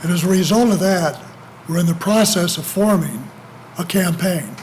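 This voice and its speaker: An older man with a raspy, slightly hoarse voice, giving a public address at a podium. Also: sits high, moderate pace, a little mellow. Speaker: community campaign speaker. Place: large public meeting room, at a podium. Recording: reverberant meeting room, clear background noise.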